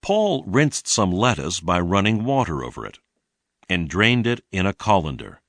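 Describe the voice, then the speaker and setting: Low male voice, slow deliberate read-along narration, lively intonation, dry recording. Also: dry recording. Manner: deliberate, clearly enunciated teaching delivery. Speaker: narrator of an English lesson.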